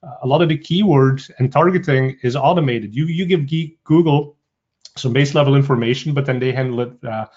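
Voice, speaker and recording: A man with a Belgian Dutch accent, speaking at a middling pitch as he presents a webinar in a loose, conversational way, filling gaps with uh and um. The audio is dull and lo-fi, like a computer mic on an online call. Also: fairly quick, a little mellow. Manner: conversational, frequent uh and um fillers. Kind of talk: casual presentation.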